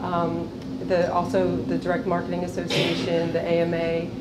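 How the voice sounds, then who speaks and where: A woman giving an earnest, businesslike pitch on stage at a live event. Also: monotone, normal speed. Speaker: pitch contestant. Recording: echoey hall with loud background noise.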